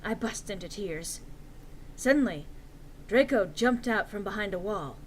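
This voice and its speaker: A woman's voice: medium pitch, animated, a little slow, slightly rough, low-quality recording. A woman narrating a story aloud in a theatrical, emphatic, comic dramatic-reading style.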